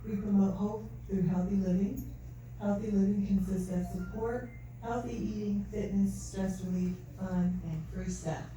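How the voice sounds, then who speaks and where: Soft-spoken woman in an echoey hall. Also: medium pitch, fairly even intonation, unhurried, hardly any pauses. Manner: soft-spoken, earnest, faith-filled. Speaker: event speaker. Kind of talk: testimony talk. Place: event hall. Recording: distant mic, echoey hall, faint noise.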